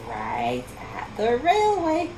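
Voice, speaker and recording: A woman reading a picture book aloud to children in an expressive storytelling style, with marvelling asides and questions to her young listeners. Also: fairly high pitch, takes it slowly, background noise.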